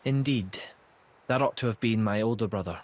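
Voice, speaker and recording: An actor playing a dutiful, modest young emperor in a video game, speaking in a measured, formal and polite way. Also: male voice, fairly low pitch, close to an everyday voice. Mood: formal, resolute. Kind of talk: video game cutscene acting. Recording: loud music under the dialogue.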